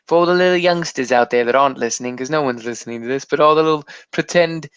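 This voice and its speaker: A man's voice: mid-range voice, quick pace, a bit bright, clear, resonant tone, dry recording, muffled recording. Theatrical man bantering in a put-on accent. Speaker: podcast co-host. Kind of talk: casual banter about TV and video games.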